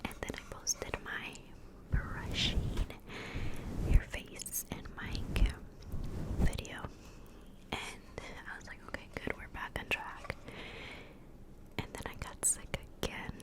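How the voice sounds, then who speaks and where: A woman's voice: slow pace, pauses often. A woman whispering a rambling ASMR catch-up close to the mic, a little congested from a cold, with lots of fillers. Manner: rambling, many fillers and restarts. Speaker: ASMR creator. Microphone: close-up microphone.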